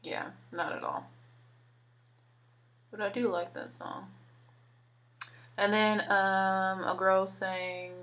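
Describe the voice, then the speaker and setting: Young woman, low-set voice, casual, talkative, makeup tutorial vlogger. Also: a bit bright. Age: 20s. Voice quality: deeper voice for a woman. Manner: chatty, filler words, talks while applying makeup. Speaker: beauty vlogger.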